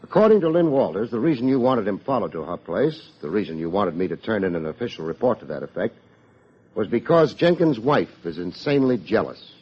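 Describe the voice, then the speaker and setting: An American actor playing a wry, hard-boiled private detective in an old radio drama, with dry, needling delivery. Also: male voice, fairly low pitch, big pitch swings, a little fast, breathy edge. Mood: sardonic. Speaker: private investigator and narrator. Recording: dull vintage radio recording.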